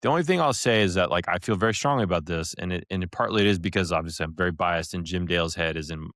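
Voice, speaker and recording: A man with a low voice chats as a podcast co-host. He reasons aloud in halting, informal bursts full of asides. The recording is dry and free of room sound. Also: fairly bright tone, no echo. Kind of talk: casual review discussion.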